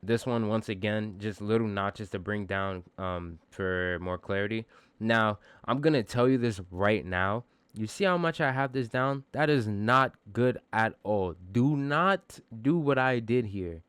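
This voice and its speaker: A man with a bassy voice giving a casual, slangy music production tutorial, informal and self-deprecating, with some swearing. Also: measured pace, fairly bright tone, smooth, no room sound.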